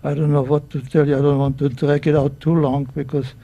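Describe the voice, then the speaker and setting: Nervous, husky man in his nineties. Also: a little high, little pitch movement, mellow, highs cut off. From Czechoslovakia. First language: German. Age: 90s. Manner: halting, searching for words, many restarts. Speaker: guest speaker at a public event. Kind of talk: personal testimony to an audience. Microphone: microphone.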